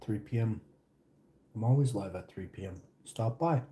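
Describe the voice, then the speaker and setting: Male streamer, deep mellow voice, rambling casual chat with viewers. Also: moderate pace. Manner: rambling, chatty, answers chat questions between bites. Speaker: live streamer.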